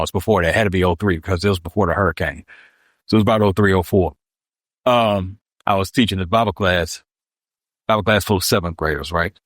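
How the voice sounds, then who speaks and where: A man, co-hosting a podcast in casual storytelling chat that is animated and full of laughs. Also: no echo, clean studio sound. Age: 40s. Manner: animated, laughs often, acts out quotes in a high voice. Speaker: podcast co-host.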